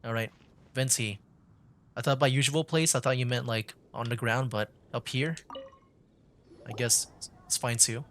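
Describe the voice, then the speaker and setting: A man's voice: mid-range voice, steady tone, measured pace, pauses often, a bit bright, slight background noise. Casual male game streamer, hesitant reactions. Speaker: streamer, VTuber playing a game. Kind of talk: casual live game commentary.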